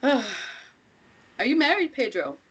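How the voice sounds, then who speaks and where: Woman acting a measured, self-assured older matriarch. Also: sits high, big pitch swings, muffled recording, exaggerated voice. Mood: teasing. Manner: measured, deliberate, rhetorical questioning. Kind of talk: dramatic table read of a script.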